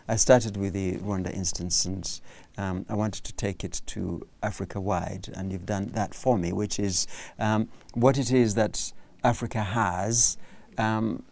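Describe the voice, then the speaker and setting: A man's voice: lowish voice, vocal fry, warm tone. A man hosting an interview, thinking aloud and often restarting his sentences. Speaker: interview host. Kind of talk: interview conversation.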